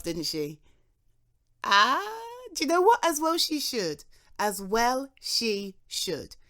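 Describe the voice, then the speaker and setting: A young British woman speaking to a friend in an intimate ASMR roleplay, chatty and reassuring, with frequent soft laughs. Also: on the high side. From Britain.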